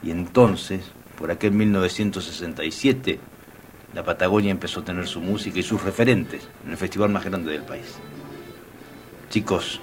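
A man's voice: deep voice, moderate pace, faint music behind. A man with an Argentine Spanish accent hosting a radio interview, friendly and teasing. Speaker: radio host.

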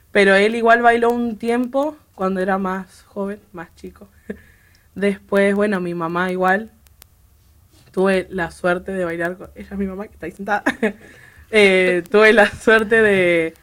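A young woman, chatting as a guest about her family and dance, laughing often and restarting her sentences. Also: medium pitch. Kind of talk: casual chat.

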